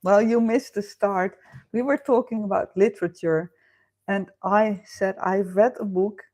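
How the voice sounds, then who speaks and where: Slow-talking Dutch woman chatting live. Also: mid-range voice, fairly even intonation, a little mellow. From Netherlands. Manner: chatty, laughs often, thinks aloud with fillers. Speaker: livestream host. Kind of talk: casual live chat reading viewer comments. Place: home.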